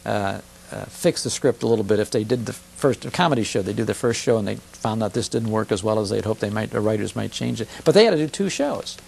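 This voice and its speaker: An older man with a gravelly voice, explaining and recounting things conversationally as a broadcaster being interviewed. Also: on the low side, animated, fairly quick, a little mellow, a little hiss or hum. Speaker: radio show broadcaster, interview guest. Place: television studio.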